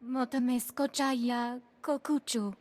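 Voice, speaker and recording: An actress playing an alien in a video game, talking in an invented alien tongue. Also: female voice, on the high side, fairly even intonation, slow pace, darkish tone. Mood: earnest. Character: female alien speaking an invented gibberish tongue. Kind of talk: video game cutscene acting.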